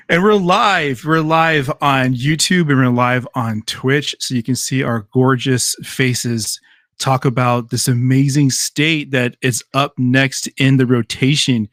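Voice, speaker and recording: A man's voice: medium pitch, steady tone, slow pace, a bit bright, dry recording. Chatty male podcast host, dry sound. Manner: loose and chatty, laughs easily, many fillers. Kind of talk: casual live podcast chat.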